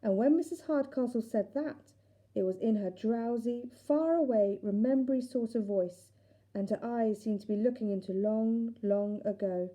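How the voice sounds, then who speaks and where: A woman narrating a children's storybook in an expressive, deliberate storytelling manner. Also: on the high side. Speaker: teacher reading aloud.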